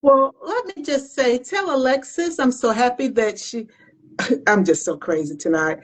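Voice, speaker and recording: A woman's voice: fairly high pitch, animated, unhurried. A woman co-hosting a casual video-call chat, playfully teasing and restarting her words. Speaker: co-host.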